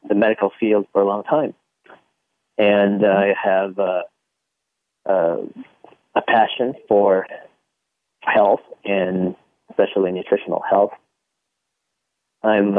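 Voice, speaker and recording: A middle-aged man from the Philippines and Singapore, answering interview questions haltingly with many pauses. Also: on the low side, no echo. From born in the Philippines, raised in Singapore. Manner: halting, hesitant, pauses often. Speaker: interview guest, chiropractor. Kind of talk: conversational radio interview. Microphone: telephone. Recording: tinny phone line, dry.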